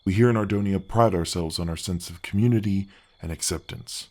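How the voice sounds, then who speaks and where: A man playing a big, kindly gargoyle guardian in a soft fantasy ASMR roleplay, earnest and a little bashful, with his voice deepened by an effect. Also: brisk. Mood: reassuring, proud. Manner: earnest, courteous, slightly bashful, hesitant phrasing. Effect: deep-voice pitch effect.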